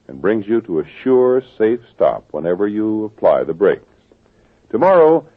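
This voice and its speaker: A man announcing scripted commercials and credits on an old radio show in a smooth, persuasive way. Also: lowish voice. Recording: tinny old radio broadcast with music.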